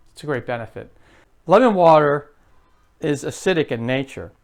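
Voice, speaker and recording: A man in his late fifties hosting his own health vlog, chatty and rambling, with lots of fillers and restarts. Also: mid-range voice. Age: 50s. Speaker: health vlogger. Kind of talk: casual to-camera vlog.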